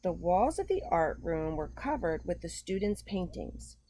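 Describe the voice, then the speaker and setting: A woman reading a picture book aloud to young children in an expressive, simple storytelling manner. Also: on the low side, measured pace, slight background noise. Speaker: children's storytime host, gymnastics coach.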